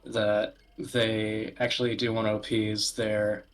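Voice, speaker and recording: A man giving casual podcast commentary, explaining things in a wordy, halting way. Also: fairly low pitch, completely flat delivery. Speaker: podcast host presenting video games. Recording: music playing behind the voice. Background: background music.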